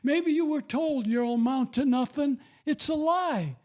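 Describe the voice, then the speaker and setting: Older man, high voice, mellow tone, emphatic, repeats himself, preachy. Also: measured pace. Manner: emphatic, repetitive, preachy storytelling. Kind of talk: testimony on faith and parenting.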